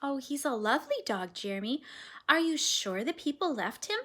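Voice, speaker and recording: A woman voicing a gentle, patient mother in a read-aloud storybook. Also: high voice, very animated, moderate pace, voice pushed up. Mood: motherly. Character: warm patient middle-aged mother.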